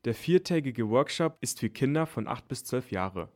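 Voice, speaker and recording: A man's voice: mid-range voice, expressive, moderate pace, a little mellow. A man reading scripted radio news for children as a newsreader.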